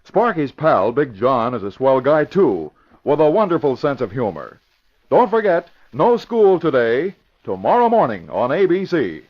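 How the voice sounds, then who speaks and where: Swooping vintage radio announcer, dull old recording. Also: male voice, on the high side, big pitch swings, measured pace. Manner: emphatic, theatrical delivery. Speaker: announcer and narrator. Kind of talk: 1940s radio announcing, dramatic scripted narration.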